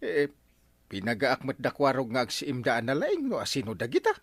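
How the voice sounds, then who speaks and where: An actor voicing an excitable village captain in a comedy radio drama, loud, exclamatory and quick to scold. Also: male voice, medium pitch, no room sound. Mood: bustling. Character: excitable middle-aged male village captain. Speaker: barangay captain character.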